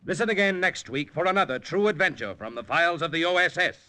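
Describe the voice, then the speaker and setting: A man's voice: on the high side, animated, fast talker, sharp tone. A man giving a formal, dramatic scripted announcement in 1940s radio style.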